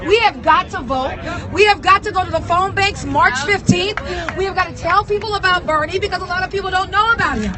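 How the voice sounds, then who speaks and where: High, urgent woman speaking at a rally. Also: fairly quick, hardly any pauses. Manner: urgent, emphatic, raised voice. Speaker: first-time rally speaker. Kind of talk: impassioned political speech. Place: outdoors at a political march. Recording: very noisy outdoor crowd recording.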